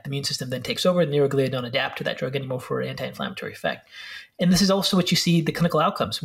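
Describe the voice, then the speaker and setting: A man speaking as a guest expert, explaining brain health in a fast, filler-heavy way with restarts. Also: on the high side, creaky. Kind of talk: explanatory interview talk.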